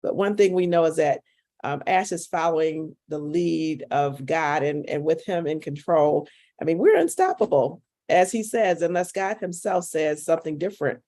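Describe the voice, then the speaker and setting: A woman's voice: deep voice, lively intonation, normal speed, mellow. Fervent upbeat woman on a call. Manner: fervent, upbeat, repeats herself. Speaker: caller sharing a testimonial. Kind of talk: enthusiastic testimonial on a call.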